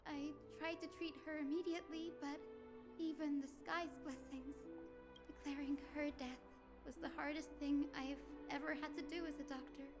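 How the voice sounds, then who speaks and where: A woman voicing a gentle, sorrowful young healer in a video game, soft-spoken and caring. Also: high-pitched, level tone, normal speed. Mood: grieving, tender. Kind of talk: dramatic video game acting. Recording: loud game music underneath.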